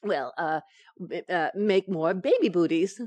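An actress playing a playful, teasing wife who coaxes and soothes in an old-time radio sitcom. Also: female voice, on the low side, lively intonation, measured pace, a little mellow. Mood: coy. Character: playful, teasing young wife, coaxing and unflappable.

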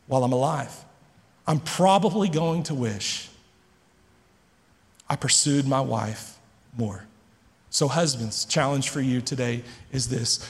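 Male pastor, earnest emphatic sermon delivery, big reverberant church hall. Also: a little high. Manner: earnest, emphatic preaching with dramatic pauses.

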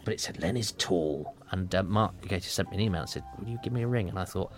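A man's voice: low-pitched, very fast, soft background music. A man, an actor being interviewed, telling a conversational anecdote with quoted dialogue.

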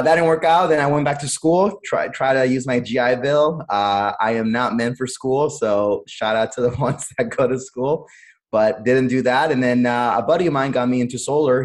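A man's voice: mid-range voice, normal speed. A man in his thirties from Cleveland, born in Honduras, telling his life story as a podcast guest in an earnest way, with many "uh"s and repeated words. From born in Honduras, raised in Cleveland, Ohio. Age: 30s. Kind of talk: candid conversational storytelling.

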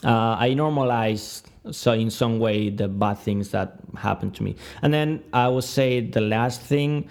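A man's voice: mid-range voice, a little slow, vocal fry, hardly any pauses, a little mellow. A man of twenty-nine from Argentina with a Spanish-speaking accent, giving reflective interview answers and often searching for words. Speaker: podcast guest.

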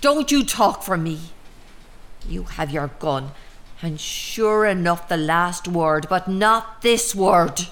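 An actress playing an anxious, prim middle-aged Irish mother with a secret, in an audio drama, speaking in a halting, deliberate way. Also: on the low side, lively intonation, a bit bright. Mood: bitter, resolute.

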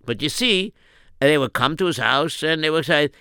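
A man's voice: on the high side, animated, normal speed, pushed and bright, clean tone, dry recording, clean studio sound. A man telling a comic story as narrator, in a folksy, exclamatory way.

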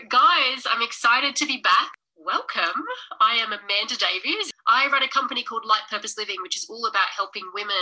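A woman in her thirties hosts a live stream in a very bright, forward voice. She talks casually and with energy, calling her viewers "guys," and she sounds a little nasal and snuffly from a cold. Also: medium pitch, poor audio. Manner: enthusiastic, chatty, addresses viewers as guys. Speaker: podcast host and business coach.

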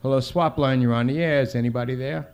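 A man playing a gentle, earnest late-night television call-in host, solicitous and imploring. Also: medium pitch, steady tone, quick pace, clean tone, lightly acted. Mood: puzzled.

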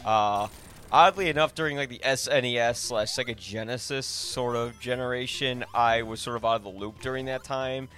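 A man gives a casual, conversational review as a podcast host, his voice a middling male pitch. He rambles along, leaning often on "like" as he works through his thoughts. Faint music plays underneath. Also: moderate pace, fairly bright tone. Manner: rambling, filler-heavy with frequent "like". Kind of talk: casual film review chat.

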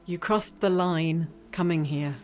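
A solemn chronicler, played by a woman, recites in a radio play in a low voice. The delivery is slow and measured, item by item, with music faintly underneath. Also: close to an everyday voice. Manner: measured, listing phrase by phrase. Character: solemn female inhabitant narrating a migration corridor.

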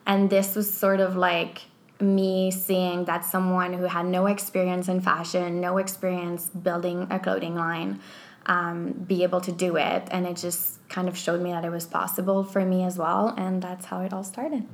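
A woman's voice: on the low side, little pitch movement, moderate pace. A young woman from Quebec with a French accent, a clothing designer chatting about her story as a podcast guest, full of "like" fillers. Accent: Québécois French. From Quebec, Canada. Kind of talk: conversational interview answers.

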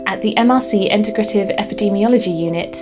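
A woman podcast host reading a scripted introduction to the episode in a crisp, even presenter's manner. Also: mid-range voice, fast talker, over music.

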